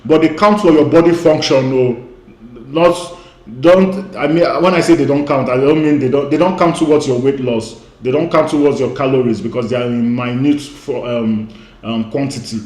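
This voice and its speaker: A man's voice: medium pitch, normal speed, a little mellow, echoey room. A man who grew up speaking Igbo, giving an emphatic online lecture as a weight-loss coach. He repeats his key points and often says "you know".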